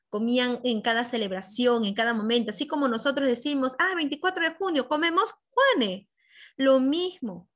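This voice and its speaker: A woman's voice: fairly high pitch, fairly bright tone. A Peruvian woman teaching an online class, explaining with examples and calling on students by name over a video call. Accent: Peruvian Spanish. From Peru. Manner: asks questions and praises students by name.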